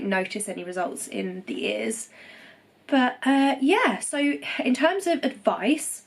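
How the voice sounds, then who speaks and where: Young woman, congested, casual home vlogger; chatty, reassuring, mid-pitched. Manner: chatty, reassuring, many ums and self-corrections. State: nasally congested after nose surgery. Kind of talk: casual recovery vlog to camera.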